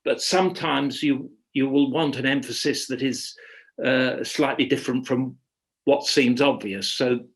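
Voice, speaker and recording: A man with an average-pitched voice speaks as a guest expert in an informal webinar. He thinks aloud, pausing and restarting phrases as he works toward each answer. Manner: hesitant, halting, thinking aloud with repeated starts. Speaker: guest expert, radio dramatist.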